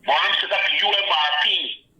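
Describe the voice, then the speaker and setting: A man's voice: high voice, normal speed, very noisy. A man in his forties calling in, insistent, repeating himself and talking over the host. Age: 40s. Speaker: caller. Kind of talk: call-in debate. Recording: tinny phone line.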